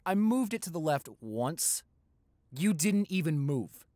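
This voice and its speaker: A man's voice: on the high side, big pitch swings, a little slow, a little mellow, no room sound, studio quality. A young man playing a tender, playful, smitten new boyfriend in an intimate roleplay, talking in a conversational, hesitant, affectionate way. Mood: playful, caring. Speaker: roleplay audio performer.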